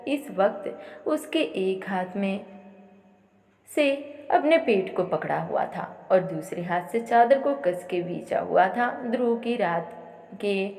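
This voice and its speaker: A woman's voice: mid-range voice, gravelly, darkish tone. A woman narrating a dramatic audio story as a storyteller, in an echoey, reverberant recording.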